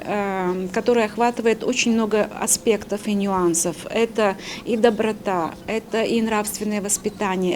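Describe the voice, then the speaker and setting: A woman giving an interview statement in short, halting phrases with pauses. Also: mid-range voice, darkish tone. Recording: light background noise on location.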